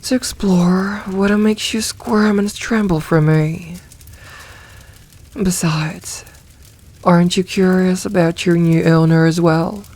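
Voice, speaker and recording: A woman voicing a possessive, teasing succubus demoness in a soft ASMR roleplay, coaxing and soothing, with some breathy moments. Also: fairly low pitch, fairly even intonation, takes it slowly.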